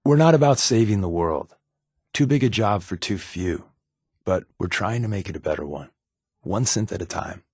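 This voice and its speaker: An actor playing a wry, guarded undercover resistance agent in a video game, conversational and confiding, with a slightly husky voice. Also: male voice, fairly low pitch, animated, moderate pace, dry recording, clean studio sound. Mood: earnest, measured. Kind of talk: naturalistic video game companion dialogue.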